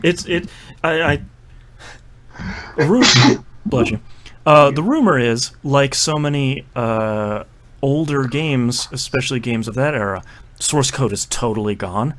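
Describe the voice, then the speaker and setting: Animated male podcast host. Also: medium pitch. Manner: animated, runs the segment, quick asides. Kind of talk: casual chat.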